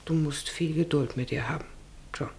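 A woman's voice: low voice, moderate pace, a little mellow, slight background noise. An actress playing an anxious, careworn middle-aged farmer's wife and mother, speaking hesitantly and earnestly in a German radio play. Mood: worried.

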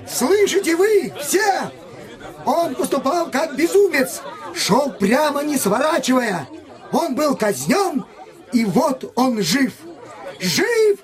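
Exultant, very high-pitched proclaimer. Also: male voice, big pitch swings, slow, a faint crowd, exaggerated voice. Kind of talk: declamatory fairy-tale audio play.

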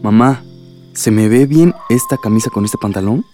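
A man's voice: on the low side, normal speed, mellow, faint music behind, close to an everyday voice. A man playing a smitten young villager with a Mexican accent in a radio soap opera. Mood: anxious, hurried. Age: young adult.

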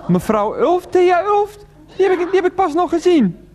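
Exasperated pleading son, halting, repetitive, very animated pitch, radio drama. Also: male voice. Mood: incredulous, pleading. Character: flustered, pleading son on the phone to his mother. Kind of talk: radio play acting.

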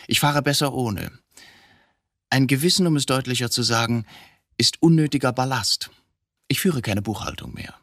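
An actor in a radio drama playing a coolly provocative, philosophical man who has confessed to killing his wife, speaking deliberately in probing rhetorical questions. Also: mid-range voice, fairly bright tone, no room sound, studio quality, natural voice.

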